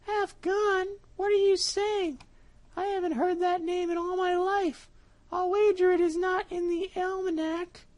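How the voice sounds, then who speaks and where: Tiny squeaky mouse, super high and loud, eager and trusting. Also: female voice, steady tone, a little slow. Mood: puzzled.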